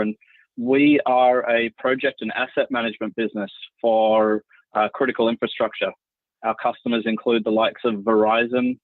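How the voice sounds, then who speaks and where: A thin, phone-like line carries the fairly low voice of an Australian man in his thirties. He talks casually as a podcast guest, with no room echo. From Sydney, Australia. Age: 30s. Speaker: podcast guest, marketing executive. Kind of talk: casual self-introduction. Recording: thin phone-like call audio.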